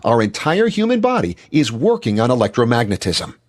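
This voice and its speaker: A male narrator delivers scripted, instructional material in a middle-pitched voice. His tone has a slightly rough, husky texture. The pitch moves widely as he stresses key words with deliberate emphasis. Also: very animated.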